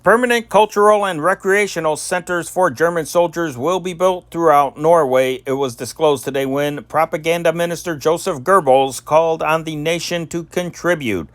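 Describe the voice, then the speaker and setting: A man reading old newspaper stories as scripted news, in a deliberate announcer-like delivery with occasional stumbles. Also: a little high, no room sound. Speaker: amateur newsreader and channel host.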